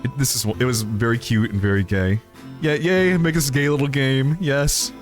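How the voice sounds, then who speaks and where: A man's voice: medium pitch, steady tone, moderate pace, faint music behind. A man hosting a livestream, reading a visual novel aloud and chatting casually about it. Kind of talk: narration and casual livestream chat.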